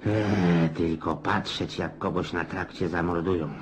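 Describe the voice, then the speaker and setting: An actor playing a garrulous, gloomy and pushy old retired Cossack officer in a radio play, rambling in a folksy, insistent way with hums and chuckles. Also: male voice, sits low, fairly even intonation, measured pace, slight background noise. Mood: grumbling, wry. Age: older.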